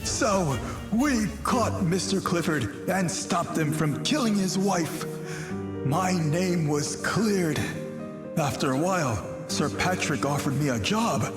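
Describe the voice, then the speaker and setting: A man playing an earnest, wronged young fugitive who narrates his past in a measured, dramatic way for a mystery game. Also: a little high, expressive, over music. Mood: relieved, reflective.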